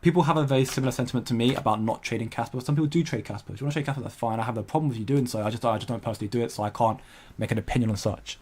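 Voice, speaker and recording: A man's voice: mid-range voice, rapid-fire, hardly any pauses, darkish tone, slight background noise. Rambling young male crypto streamer. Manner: rambling, hesitant, many restarts, laughs often. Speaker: crypto livestream host. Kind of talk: casual livestream chat reading viewer comments.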